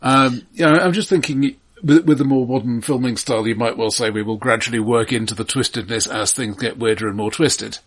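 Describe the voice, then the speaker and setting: A man's voice: medium pitch, fairly quick, few pauses, breathy edge. A man co-hosting a casual, analytical film discussion, hesitant and thoughtful in his phrasing. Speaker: podcast co-host.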